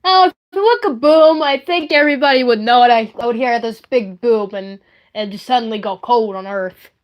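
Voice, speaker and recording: High-voiced woman chatting playfully on a livestream. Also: normal speed, dull audio. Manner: rambling, playful, silly. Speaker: livestream host. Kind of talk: casual chatty livestream, showing handmade puppets.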